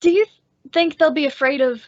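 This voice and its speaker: A woman's voice: sits high, a little fast, darkish tone, no room sound, lightly acted. A woman playing an anxious, questioning young female helper in an old-time radio western. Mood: anxious, curious. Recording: dull lo-fi audio.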